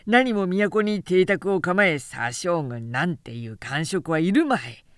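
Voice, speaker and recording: A woman voicing a blunt, hot-tempered male warrior who grumbles in rough speech, in an audiobook novel. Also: on the low side, lively intonation, unhurried, no room sound, clean studio sound. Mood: sarcastic complaint. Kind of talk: literary audiobook dialogue.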